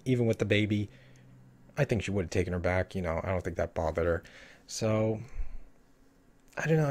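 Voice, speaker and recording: A man's voice: on the low side, a little mellow. A man talking casually through a show review, rambling with lots of fillers and hedges, and saying he is losing his voice. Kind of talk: casual solo review talk.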